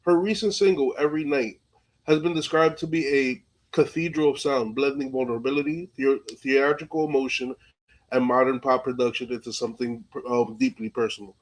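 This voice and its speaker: A man's voice: mid-range voice, steady tone, fairly quick, rough recording. A man hosting an interview podcast in casual, slangy speech, often dropping in "like" and "you know". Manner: informal, peppered with "like" and "you know". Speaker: podcast host.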